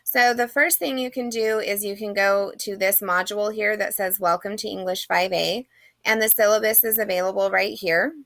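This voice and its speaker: A woman's voice: mid-range voice, fairly even intonation, normal speed, fairly bright tone, no room sound. A woman instructor, sick and losing her voice a little, talking through a course syllabus in a friendly, chatty recorded lecture with plenty of "um"s. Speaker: online English instructor. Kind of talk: recorded screen-share lecture.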